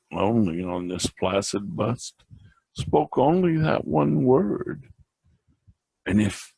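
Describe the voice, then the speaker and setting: Slow, gravelly man reciting poetry. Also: lowish voice, dark tone, no room sound. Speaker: reader.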